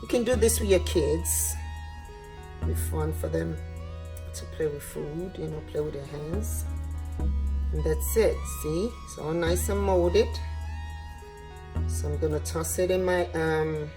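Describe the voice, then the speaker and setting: Lady doing a cooking demo, pretty deep voice, chill and encouraging, repeats herself, music playing behind her. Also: takes it slowly, pauses often. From Nigeria. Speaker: cooking channel host. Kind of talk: casual cooking demonstration. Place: indoor kitchen, at a counter.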